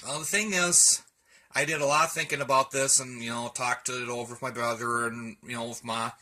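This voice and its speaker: A man with a very bright, forward voice talks casually to his camera at home. He rambles in a hesitant, folksy, conversational way, like a hobbyist giving a show-and-tell. His pitch sits in a middle range. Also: steady tone, a little fast. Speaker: radio hobbyist vlogger. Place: indoors at home, room with radio shelves.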